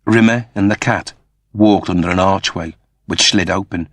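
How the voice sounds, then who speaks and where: A man's voice: low voice, normal speed, fairly bright tone, dry recording. A man narrating scripted science fiction as a dramatic audiobook reader.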